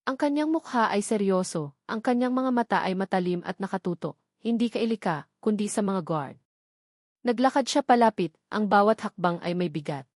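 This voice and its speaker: A woman's voice: medium pitch, expressive, moderate pace, darkish tone, no echo, clean studio sound. A woman reading scripted narration of a dramatic love story.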